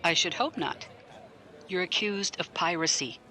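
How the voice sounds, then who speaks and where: A man's voice: high-pitched, a little slow, pushed and bright, exaggerated voice. A man acting a probing, blunt military defense lawyer in a science fiction audio drama, pointed, articulate and wryly skeptical. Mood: stern, warning.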